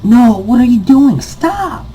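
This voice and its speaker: A man voicing an excitable young woman, a loud and passionate fan in a visual novel. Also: extremely high pitch, slow, slight background noise, pitched above the performer's own voice, full character voice. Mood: frantic, distressed. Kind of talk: visual novel character read-aloud.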